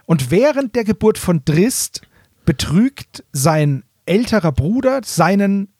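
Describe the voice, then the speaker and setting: Male podcast co-host, fairly high voice, dry sound, loose colloquial explainer who corrects himself. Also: very animated, slow pace, darkish tone, no room sound. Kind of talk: casual chat retelling a story.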